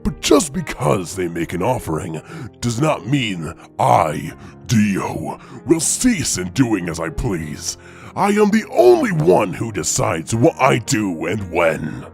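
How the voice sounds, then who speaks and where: A man puts on a commanding vampire lord who savors every word in a slow, sarcastic drawl. The voice sits low and swings widely in pitch, lingering on each phrase. Soft music plays underneath. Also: very animated, creaky, exaggerated voice. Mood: imperious.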